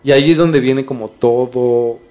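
A man's voice: mid-range voice, steady tone, normal speed, darkish tone, faint music behind. A man with a Mexican accent explaining things in casual conversation as a podcast co-host, informally and repeating words for emphasis.

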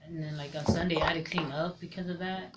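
A man's voice: on the high side, fairly even intonation, quick pace. A young man co-hosting a casual podcast, telling a story in loose slang and swearing freely. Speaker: podcast co-host. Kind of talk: casual chat. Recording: noisy home recording.

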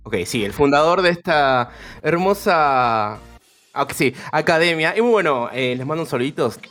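A man with an Argentine accent, founder of a language academy, telling stories in a relaxed, joking way. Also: on the high side, normal speed, soft background music. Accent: Argentine Spanish. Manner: laid-back, storytelling, joking. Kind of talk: casual group chat.

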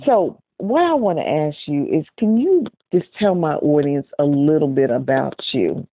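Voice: medium pitch, expressive, moderate pace. A podcast host doing a casual interview, chatty and loose, with lots of filler words. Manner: chatty, heavy um fillers, frequent "everything like that". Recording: dry, tinny phone-like call line.

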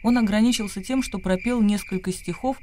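A man's voice: sits high, a little fast, dark tone, a little hiss or hum. A man narrating literary prose as the storyteller of a radio play.

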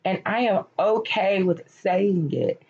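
A woman in her late thirties hosting a podcast, talking candidly and encouragingly about her life, her voice hoarse and cracking as she loses it. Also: medium pitch, slow, a little mellow. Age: late 30s. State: losing her voice, slightly cracking. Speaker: podcast host. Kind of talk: personal reflective monologue. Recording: lo-fi, dull recording.

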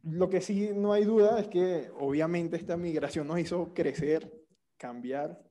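Young Venezuelan man, casual co-host, slightly high voice, relaxed slangy delivery. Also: unhurried. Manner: relaxed storytelling with slang and restarts. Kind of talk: casual chat.

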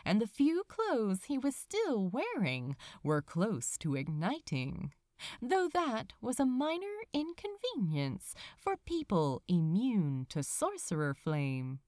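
A woman narrating a written story as an audiobook reader, in an expressive, measured storytelling manner. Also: medium pitch, no echo, studio quality. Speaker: fan fiction audiobook reader.

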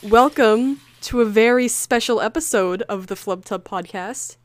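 A woman's voice: on the high side, measured pace. A woman hosting a casual group podcast, talking in an informal, sweary, giggly way. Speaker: podcast host.

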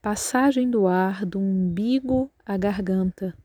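Slow, mellow Brazilian woman guiding relaxation. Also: mid-range voice, no echo. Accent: Brazilian Portuguese. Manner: calm, measured, instructing. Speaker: yoga nidra guide. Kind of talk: guided relaxation meditation.

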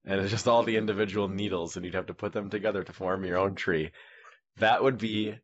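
Rambling low-voiced podcast man. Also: fairly even intonation, fairly quick. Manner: rambling storyteller, acts out dialogue. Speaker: podcast co-host. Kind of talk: casual chat. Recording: dull lo-fi sound.

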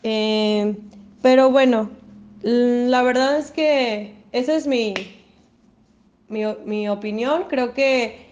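A woman's voice: fairly high pitch, slow pace, a little mellow, highs cut off, slight background noise. A woman with a Mexican accent giving her opinion as a guest, in a chatty way with restarts. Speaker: guest speaker. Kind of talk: casual opinion piece.

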